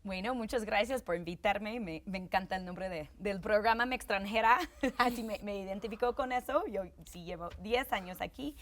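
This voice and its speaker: A woman's voice: mid-range voice, normal speed. A woman from New York, a journalist guest speaking in a panel discussion, thoughtful and at times hesitant. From New York, United States.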